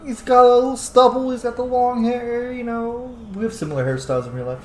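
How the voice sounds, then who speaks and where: A man's voice: very high-pitched, a little fast. A man doing casual game commentary as a let's-player, blunt, playful and sweary as he reacts aloud. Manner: animated, blunt, profane, playful. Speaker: gaming streamer, let's-player. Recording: roomy echo, faint background noise.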